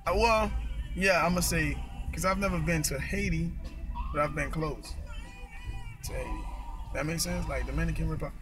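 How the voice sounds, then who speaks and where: Halting young man, slightly high voice. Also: music in the background. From United States. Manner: halting, restarts sentences, frequent throat clearing. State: mouth numb after dental work. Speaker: vlogger. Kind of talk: casual rambling vlog. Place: outdoors in a park. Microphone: handheld camera.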